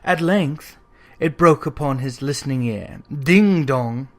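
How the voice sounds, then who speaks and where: A man narrating a classic Victorian ghost story as an audiobook, in a theatrical, expressive storytelling manner. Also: a little high, measured pace.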